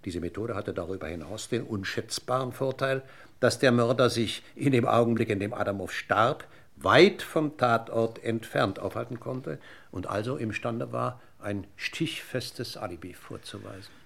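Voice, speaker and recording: A man acting a police inspector in a German radio crime drama, questioning in a deliberate, courteous and insistent way. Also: mid-range voice, gravelly, a bit bright. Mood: explaining, assured. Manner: deliberate, courteous interrogator's delivery. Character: male police inspector, probing, methodical, politely insistent.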